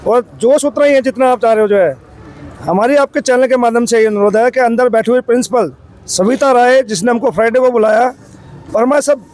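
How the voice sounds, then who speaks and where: A protest leader with a sore throat, outdoors, pleading a worker's grievance to a reporter. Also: male voice, extremely high pitch, brisk, a little mellow, poor audio. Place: outdoor protest site by a street.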